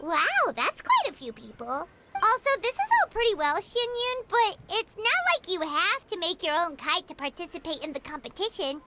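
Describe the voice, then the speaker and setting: Very high, childlike girl mascot, bubbly, friendly, sing-song swings, game dialogue. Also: very animated, moderate pace, noisy background. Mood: surprised, chatty. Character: tiny, chirpy, childlike companion girl, curious and friendly. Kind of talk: scripted video game character dialogue.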